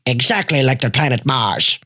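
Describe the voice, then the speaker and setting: A woman's voice: very deep voice, expressive, moderate pace, fairly bright tone, exaggerated voice. A woman voicing a feisty, stubborn grandma in her eighties in an emphatic, dramatic radio play style. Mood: feisty, defiant. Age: older. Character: feisty, stubborn 81-year-old grandma, sharp as a tack. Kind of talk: hobby radio play acting.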